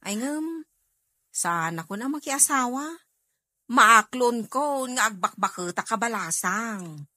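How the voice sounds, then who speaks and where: A doting, cheerful household helper in an Ilocano radio drama. She has a bright, fairly high voice, an unhurried pace and an Ilocano Filipino accent. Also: animated, no room sound, clean studio sound, exaggerated voice. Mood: cheerful, teasing.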